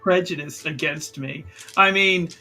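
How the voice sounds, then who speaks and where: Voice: medium pitch, a little slow, over music. A player in a tabletop roleplaying game, talking casually in character, rambling and repeating phrases in a self-justifying way.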